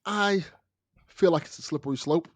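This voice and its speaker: A man's voice: fairly high pitch, moderate pace, no room sound. A man talking casually as a guest on a podcast, discussing and explaining things conversationally.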